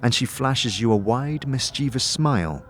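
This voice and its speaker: A man's voice: medium pitch, animated, moderate pace, slight background noise. A man narrating a fantasy audio drama in the second person, in a descriptive, dramatic storytelling manner.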